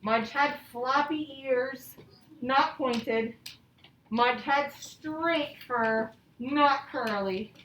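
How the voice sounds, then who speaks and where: High-pitched woman teaching in echoey classroom. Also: very slow, a bit bright. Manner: instructional, simple phrasing with repetition. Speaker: elementary school teacher. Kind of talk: classroom lesson with read-aloud and questions to children. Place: indoor classroom. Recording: echoey classroom, distant mic, background noise.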